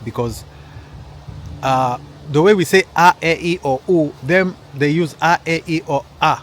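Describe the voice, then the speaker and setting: A man's voice: medium pitch, slight background noise. Male storyteller, mid-range voice, casual and digressive, keeps addressing listeners. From Kenya. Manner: rambling, with frequent restarts and asides to listeners. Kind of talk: casual personal storytelling to an audience.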